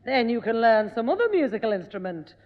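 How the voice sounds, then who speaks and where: A woman's voice: a little high, sing-song, a little fast. A firm, weary mother. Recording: dull echoey old radio recording.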